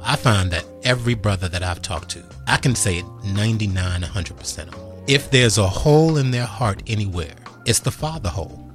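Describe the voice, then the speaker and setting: A man's voice: lowish voice, lively intonation, fairly quick, hardly any pauses, soft background music. A man in his fifties hosting an interview show, speaking frankly and earnestly in a reflective, conversational way. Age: 50s. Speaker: talk show host.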